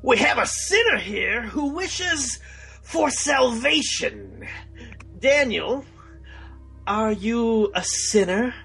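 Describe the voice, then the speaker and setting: A man's voice: very high-pitched, very animated, slow pace, creaky, pushed and bright, soft background music, full character voice. A man playing a fervent, domineering preacher in a parody sketch, taunting and demanding confession in a theatrical way. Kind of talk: movie-parody sketch acting.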